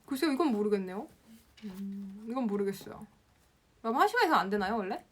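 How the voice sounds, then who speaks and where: A woman's voice: medium pitch, lively intonation, quick pace, breathy edge. A young woman from the Gyeongsang region teaching Instagram, answering viewer comments on a casual live stream and laughing often. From Gyeongsang province, Korea.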